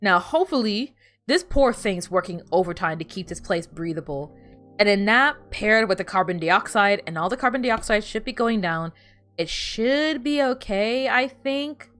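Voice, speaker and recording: A woman's voice: mid-range voice, lively intonation, normal speed, a bit bright, faint music behind. A woman whose first language is Spanish, chatting casually with viewers while she plays a video game, rambling and often restarting her sentences. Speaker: streamer.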